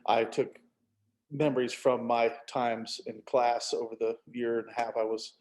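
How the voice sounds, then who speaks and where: A man talking casually as a guest in an interview, joking and self-deprecating, with halting phrasing. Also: mid-range voice, little pitch movement, a little mellow, rough recording. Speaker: author, interview panelist.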